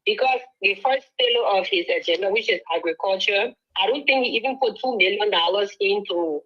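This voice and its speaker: A Liberian woman calling in to a talk show, arguing politics with rising passion. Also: fairly quick. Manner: impassioned, rising pitch when pressing points. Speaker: caller. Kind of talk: call-in political commentary. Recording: phone line audio.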